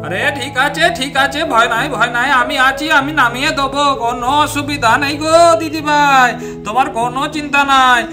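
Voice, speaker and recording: A man's voice: very high voice, steady tone, fairly quick, over music. A man voicing an eager village camel driver in a doll story, haggling and reassuring, often repeating short phrases. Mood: reassuring, urging. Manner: repeats short calls and urgings. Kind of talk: amateur doll-story voice acting.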